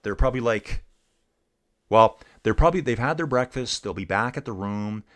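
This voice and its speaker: A man's voice: mid-range voice, animated, moderate pace, fairly bright tone. A middle-aged man casually commenting on a bike race live and chatting with viewers, laid-back and saying "dude" a lot. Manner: laid-back, says "dude" often, reads chat aloud.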